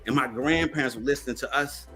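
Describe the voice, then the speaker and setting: Male panelist, forceful bright tone, emphatic and repetitive delivery, impassioned discussion, faint music. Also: mid-range voice, fast, husky. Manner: emphatic, repeats phrases for force. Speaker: panel speaker. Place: online video panel.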